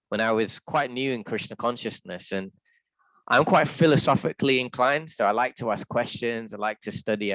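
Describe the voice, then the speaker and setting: A man with a deep voice leading a reflective scripture reading, talking in a thoughtful, halting way with restarts. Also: a bit bright, no echo, low-quality recording. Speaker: scripture class leader.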